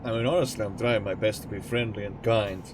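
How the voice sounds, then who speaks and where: Man, young, Estonian accent, non-native speaker, streamer, casual rambling chat, steady truck noise behind. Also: lowish voice, fast talker. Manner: rambling and jokey, answering chat. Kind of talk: casual chat with live chat while gaming.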